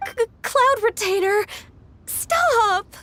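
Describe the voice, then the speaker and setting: An actress playing a gentle, polite, bookish young woman in a video game, speaking in a courteous, earnest way. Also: very high voice, animated, very slow, full character voice. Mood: embarrassed. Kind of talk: video game character acting.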